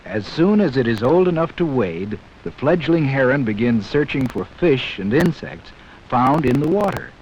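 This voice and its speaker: A man narrating a scripted 1960s educational film in a measured, explanatory way, speaking directly to young viewers. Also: medium pitch, very animated, a little mellow. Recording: dull, hissy 1960s 16mm film soundtrack.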